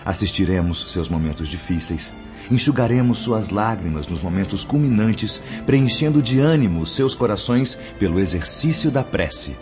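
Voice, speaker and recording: An actor playing a kindly, solemn spirit mentor in a Brazilian radio drama, speaking with formal, didactic diction and a Brazilian accent. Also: male voice, fairly low pitch, expressive, normal speed, natural voice. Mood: kindly, reassuring. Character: Brother Clemente, kindly solemn male spirit mentor instructing apprentices. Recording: muffled audio under prominent music.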